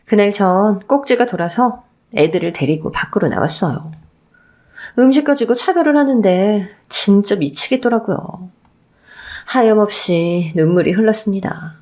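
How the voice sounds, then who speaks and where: A woman's voice: mid-range voice, animated, moderate pace, darkish tone. A woman reading a scripted first-person family story as a radio-style narrator.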